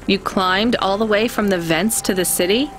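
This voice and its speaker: An actress playing a practical, inquisitive young courier in a video game, talking in a wry, matter-of-fact way. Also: female voice, mid-range voice, moderate pace, noisy background, lightly acted. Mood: calm, questioning.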